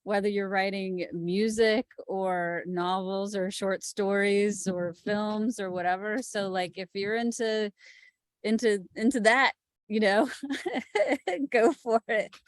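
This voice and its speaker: A woman talks informally as a panelist, working out her thoughts as she goes. Her pitch is average for a woman, and the sound is dry with almost no room in it. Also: steady tone, slow, no room sound. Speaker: podcast executive producer, panelist. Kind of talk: casual panel talk.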